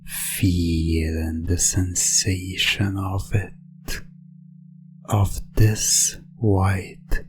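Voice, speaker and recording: A hypnotist speaking in an ASMR style, commanding and coaxing, with deliberate pauses between short phrases. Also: deep voice.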